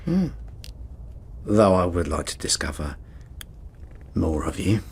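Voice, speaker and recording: An actor playing a smug, fussy lodger in a radio drama, pompous and demanding with an oily, flirtatious charm. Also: male voice, low-pitched, very animated, slow pace, gravelly, breathy edge, heavily acted.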